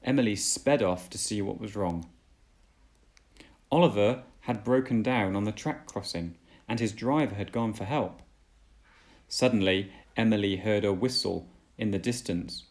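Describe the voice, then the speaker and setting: A man reading a children's storybook aloud as a dad would, with deliberate, dramatic storytelling. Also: lowish voice, darkish tone.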